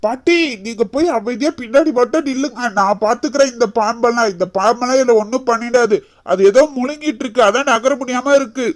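A performer voicing a bossy, confident young village husband, brisk and repetitive, in an animated story. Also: medium pitch, lightly acted. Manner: brisk, bossy, repeats himself.

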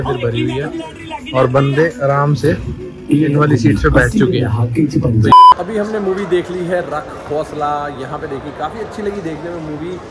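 A young man, chatting casually through a mall tour vlog with a phone held at arm's length. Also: on the high side. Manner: chatty, showing things to camera as he walks. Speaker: vlogger. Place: large indoor shopping mall.

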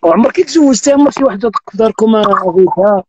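A man's voice: high-pitched, racing, muffled recording, slight background noise. A Moroccan man in his thirties hosting a live stream, teasing and telling jokes with frequent oaths. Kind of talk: joking casual chat. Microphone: phone on live video call.

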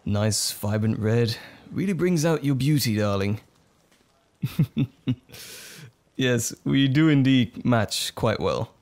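Man acting as nervous romantic date, lively intonation. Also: medium pitch, takes it slowly, long pauses. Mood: tender, smitten.

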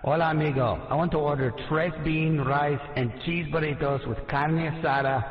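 Man, customer on a call, slightly high pitch, echoey recording. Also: fairly even intonation, normal speed, soft background music. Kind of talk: customer ordering by phone. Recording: dull echoey phone call clips.

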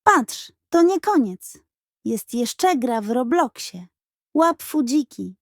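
A woman puts on an excitable boy, the bossy older brother in a children's story. The voice is raised in pitch, loud and urgent, full of exclamations, and recorded dry. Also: slow pace, no echo, exaggerated voice. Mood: excited. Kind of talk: children's audiobook character voice.

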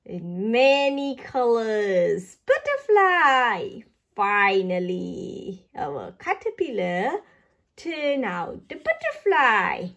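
A woman's voice: a little high, sing-song, gravelly, fairly bright tone. A woman reading aloud for toddlers, saying words carefully in a sing-song, child-directed way. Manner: slow, careful labelling of single words for small children. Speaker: children's book reader and channel host.

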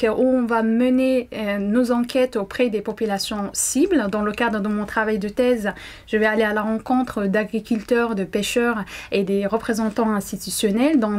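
A young woman whose first language is Arabic, a doctoral student answering interview questions to camera, hesitant, with many fillers and restarts. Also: mid-range voice. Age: young adult. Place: indoor room.

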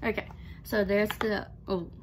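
A young woman with a low voice, vlogging to camera in a casual, rambling way that repeats itself, recorded close on a device in her bedroom. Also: steady tone, measured pace, a little hiss or hum. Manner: rambling, repeats herself, earnest. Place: bedroom, indoors. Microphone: device camera at close range.

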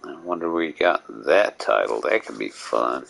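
A man with a gravelly voice streaming a game, musing aloud in a halting way and reading the text. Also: a bass voice, animated, a bit bright, slight background noise. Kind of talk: casual live game commentary and voicing characters.

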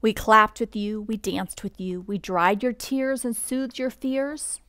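A woman reading a bedtime picture book aloud in a gentle, expressive, unhurried storybook manner. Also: medium pitch, dry recording, studio quality.

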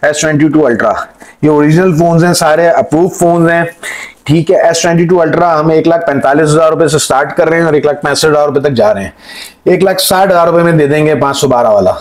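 A middle-aged Pakistani man with a slightly high voice, talking as a shop seller. He runs through items in an informal pitch to camera, leaning on repeated filler phrases. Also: quick pace. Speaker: mobile phone seller. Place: indoor room with display table.

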